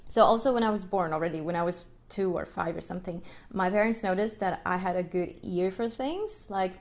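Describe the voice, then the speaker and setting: A woman's voice: lowish voice, a little fast. A woman in her thirties from Ukraine, a native Russian speaker, talking casually on her podcast, often laughing and adding tag questions. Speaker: podcast host and music teacher. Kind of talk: casual live podcast monologue.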